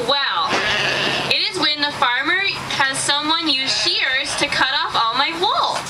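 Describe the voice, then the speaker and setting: A woman plays an anxious young sheep in a homemade puppet show, full of questions and exclamations. The voice is high and comes from well away from the mic, under very loud outdoor farm noise. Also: animated, unhurried, far from the mic. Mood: worried, hesitant. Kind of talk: amateur puppet show dialogue.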